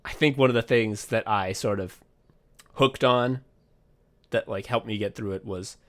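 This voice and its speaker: A young man chatting casually as a podcast co-host, rambling excitedly and swearing often as he walks through his own thinking. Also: fairly low pitch, unhurried. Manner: rambling, profane, excitable, acts out his own thought process. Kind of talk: casual behind-the-scenes chat.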